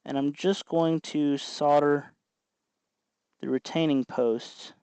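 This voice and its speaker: A man hosting a casual hands-on tutorial, explaining in a halting way as he works. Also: on the high side, fairly even intonation, a little mellow, smooth, dry recording. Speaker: host of a DIY electronics tutorial.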